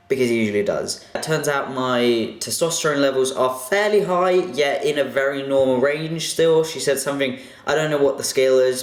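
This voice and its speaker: A young man casually answering viewer questions in a vlog, chatty and a bit self-conscious, with lots of fillers. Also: mid-range voice. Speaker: vlogger. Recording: echoey room.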